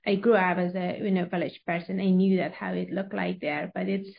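A woman's voice: lowish voice, steady tone, brisk, gravelly. Interview guest: young woman from Afghanistan, hesitant, many restarts, dull sound. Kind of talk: interview answers.

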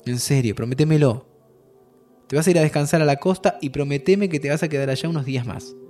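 An actor with an Argentine accent playing a warm young man who says goodbye to his friend, laughing softly and comforting him. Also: fairly high pitch, fast talker, faint music behind, close to an everyday voice. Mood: caring. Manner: affectionate, laughing, soothing. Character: warm young male time traveler saying goodbye. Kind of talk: audio drama acting.

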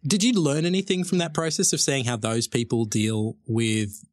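A man hosting an interview, asking questions in an easygoing way with quick affirmations and light jokes. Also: mid-range voice, fairly even intonation, no room sound, studio quality. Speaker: interview host. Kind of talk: casual interview conversation.

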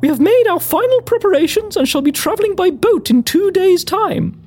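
A woman's voice: very high-pitched, animated, moderate pace, warm tone. A woman playing a pompous Victorian lady adventurer with a British accent, speaking formally and theatrically in an audio drama. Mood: measured, self-important. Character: prim, pompous Victorian lady adventurer keeping an occult logbook. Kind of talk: scripted first-person audio drama narration.

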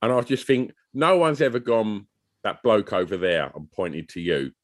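A British man with a low voice that swings up and down a lot, trading teasing podcast banter with a friend. He laughs often and talks in a loose, casual way. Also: big pitch swings, normal speed. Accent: British English. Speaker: podcast co-host.

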